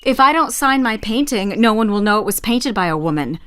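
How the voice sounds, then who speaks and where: A woman's voice: medium pitch, animated, a little fast, fairly bright tone, clear, resonant tone, lightly acted. A woman playing a blunt, ambitious young widowed painter of the 1860s in a naturalistic audio drama. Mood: proud, absorbed. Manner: blunt, clipped, self-absorbed.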